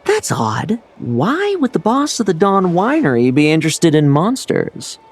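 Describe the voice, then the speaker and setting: A man's voice: fairly high pitch, wildly swooping pitch, unhurried, a little hiss or hum, full character voice. A man voicing a dry, wry, reluctant knight in a game cutscene.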